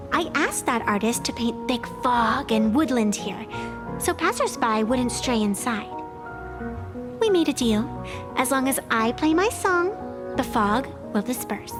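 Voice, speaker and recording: A woman's voice: high voice, lively intonation, unhurried, over music. A woman playing a proud, playful young female arbiter in a video game, with a theatrical, boastful, lightly indignant manner. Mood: confident.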